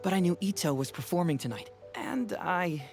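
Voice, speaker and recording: Upbeat, discreet female sleuth, slightly low voice, expressive game acting, music clearly behind. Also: moderate pace. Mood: confiding. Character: sensible young female investigator, discreet and upbeat.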